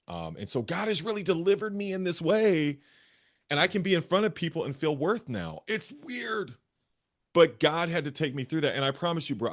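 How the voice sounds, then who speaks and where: A man with a bass voice, a therapist and guest, earnestly telling his own story and often repeating phrases as he searches for words. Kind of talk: candid personal storytelling.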